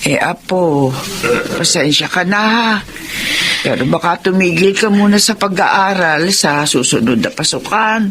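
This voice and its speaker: A woman's voice: lowish voice, expressive, measured pace. A woman playing a caring, apologetic elderly Filipino grandmother in a family drama, with folksy, plaintive interjections. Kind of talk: dramatized radio-style life story acting. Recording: clear background noise.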